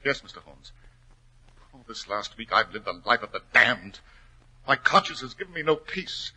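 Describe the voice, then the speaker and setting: An actor playing a desperate, hot-tempered aristocrat in a 1940s radio melodrama, impassioned and pleading, with a slightly rough, forceful voice. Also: male voice, mid-range voice, lively intonation, normal speed, heavily acted, low-quality recording. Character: desperate, hot-tempered aristocrat racehorse owner, cornered and guilty. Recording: old 1940s radio recording.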